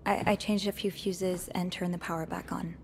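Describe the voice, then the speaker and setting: A young woman playing an earnest, nervous hotel maid in a video game, speaking haltingly. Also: fairly low pitch, little pitch movement, gravelly, slight background noise. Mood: nervous, guarded. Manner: hesitant, halting. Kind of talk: naturalistic video game acting.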